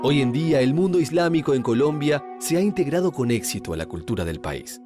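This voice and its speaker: A man's voice: mid-range voice, lively intonation, brisk. A man reading formal scripted documentary narration as the narrator. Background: music.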